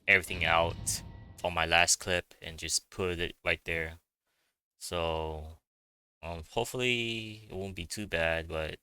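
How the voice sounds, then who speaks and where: Deep-voiced, halting male gaming streamer. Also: pushed and bright, clean tone. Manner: hesitant, halting, self-deprecating. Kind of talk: casual game playthrough commentary.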